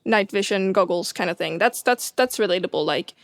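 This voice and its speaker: A woman's voice: mid-range voice, brisk, dry recording. A woman with a Swedish accent, co-hosting a casual science chat as an astronomer, enthusiastic and full of fillers and restarts. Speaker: co-host, astronomer.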